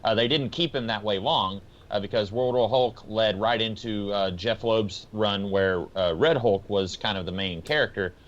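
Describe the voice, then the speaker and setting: A man's voice: fairly low pitch, moderate pace, hardly any pauses, dull audio. A man in a casual panel discussion, explaining his points in a conversational way. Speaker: podcast panelist.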